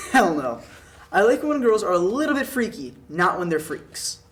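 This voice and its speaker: A man's voice: high-pitched, sing-song, unhurried, a bit bright, full character voice. A young man acting a blunt, sarcastic college buddy in a table read, with joking, slangy delivery. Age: young adult. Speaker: actor at a script table read. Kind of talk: casual dialogue read aloud from a script. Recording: noisy room recording.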